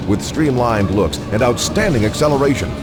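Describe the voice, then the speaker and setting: A man reading scripted documentary-style narration for a video game in a measured, polished promotional manner. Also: medium pitch, lively intonation, over loud music.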